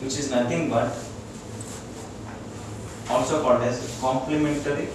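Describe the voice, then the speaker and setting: Man, Indian accent, doctor lecturing, halting explanatory delivery, distant mic, heavy echo. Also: mid-range voice, steady tone. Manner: halting, explanatory, checks listeners follow. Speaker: doctor giving a wellness lecture. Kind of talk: informal educational talk to a group. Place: echoey room with a small audience. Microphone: distant from the speaker. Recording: very echoey room, distant mic, loud noise.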